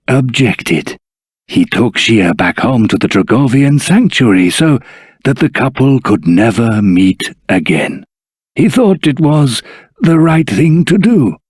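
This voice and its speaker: An actor playing a wise, earnest old grandfather from a dragon folk in a video game, speaking formally in a husky, rough-edged voice. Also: medium pitch, a little mellow, no room sound, studio quality, heavily acted. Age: older. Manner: formal, measured, deliberate storytelling. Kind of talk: scripted video game voice acting.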